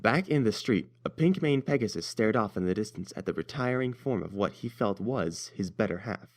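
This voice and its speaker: A man's voice: on the low side, lively intonation, normal speed. A man narrating a scripted story as its reader.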